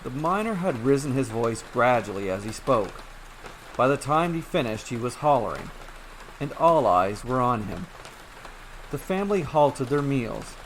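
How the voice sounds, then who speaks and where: A man narrates a short fairy tale in a steady, even reading voice at a middle pitch. A faint hiss of rain noise sits underneath the voice. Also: measured pace, poor audio. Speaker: storyteller. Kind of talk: scripted story narration.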